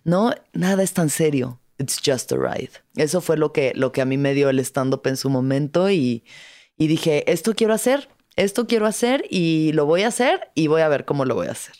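A woman's voice: deep voice, normal speed. A woman from Mexico, a stand-up comedian and actress, telling her story in a casual conversation. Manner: lively storyteller, acts out her own past words.

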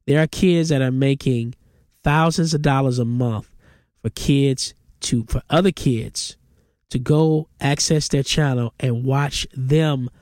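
A man's voice: mid-range voice, slow pace, a little mellow, no room sound. Congested man ranting opinions, dry mic. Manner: opinionated, emphatic, rising to exclamations. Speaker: podcast host. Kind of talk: solo podcast commentary.

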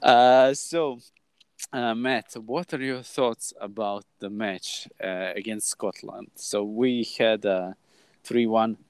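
A man in his thirties from Croatia with a Croatian accent, hosting a casual podcast chat in halting English with frequent restarts. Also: fairly low pitch, darkish tone, clear, resonant tone, dry recording. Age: 30s. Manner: halting, many restarts and fillers. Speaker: podcast host.

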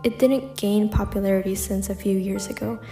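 A young woman from the United States talks through a personal solo podcast episode in a reflective way, leaning on "like" and "um" as she goes. Her voice is mellow and warm, set at a middle pitch. The room is echoey and faint music sits underneath. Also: fairly even intonation, normal speed. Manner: reflective, frequent "like" and "um" fillers. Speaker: podcast host.

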